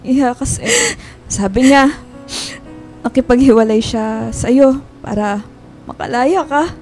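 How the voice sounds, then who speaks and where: A woman's voice: a little high, unhurried, a little mellow. An actress in a radio drama playing a sorrowful older mother, tender and tearfully consoling. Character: sorrowful, tender older mother who lost her son. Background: music.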